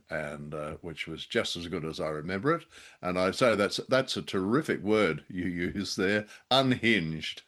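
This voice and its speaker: A man's voice: low voice, fairly bright tone. A middle-aged or older man co-hosting a casual discussion of old-time radio, wry, self-deprecating and a little hesitant. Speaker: podcast co-host.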